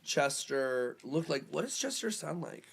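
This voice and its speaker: A woman hosts a playful chat show in a slightly low voice. Her pitch moves up and down a great deal. She comes across as effusive and casual. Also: big pitch swings, measured pace, creaky, a little hiss or hum. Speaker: host.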